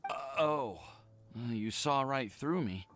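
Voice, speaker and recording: A man's voice: mid-range voice, expressive, slow, background noise. An actor playing a nervous, apologetic young man in love, stammering and earnest in a video game cutscene. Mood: embarrassed. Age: young adult.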